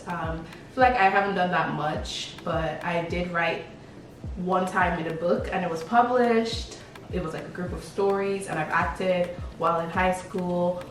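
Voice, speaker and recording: Echoey, low-voiced young woman vlogger. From Lagos, Nigeria. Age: young adult. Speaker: vlogger answering questions about herself. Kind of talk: casual chat. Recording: echoey room, distant mic, background beat.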